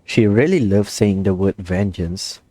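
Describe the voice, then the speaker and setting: A young man plays a game and comments casually, slipping into slang and reading choices out. His voice is extremely low and mellow, with faint game music behind it. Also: a little slow. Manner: reads dialogue choices aloud, slangy. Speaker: gamer playing and reacting.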